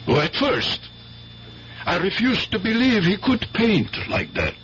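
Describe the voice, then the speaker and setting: High-voiced, flustered professor. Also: male voice, wildly swooping pitch, unhurried, a bit bright, exaggerated voice. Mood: baffled, emphatic. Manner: emphatic, theatrical, hesitant when flustered. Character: flustered, baffled male art professor, emphatic and incredulous. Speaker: radio drama actor. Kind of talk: 1970s radio drama acting. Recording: muffled, noisy 1970s radio broadcast with hum.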